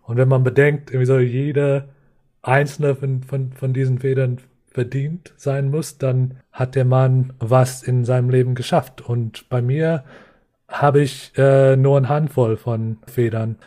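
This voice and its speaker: A man from a reservation in the US Northern Plains, speaking German as a second language, explaining slowly with many pauses and fillers as a podcast guest. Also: medium pitch, little pitch movement, dark tone. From Lakota reservation, US Northern Plains. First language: not German. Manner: hesitant, many fillers and restarts. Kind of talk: podcast interview, reflective explaining.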